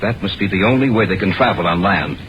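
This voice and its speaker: An American actor playing a grave, serious man besieged by creatures, with tense, deliberate radio horror delivery. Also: deep voice, lively intonation. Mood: grave, ominous. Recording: muffled vintage radio recording, very noisy.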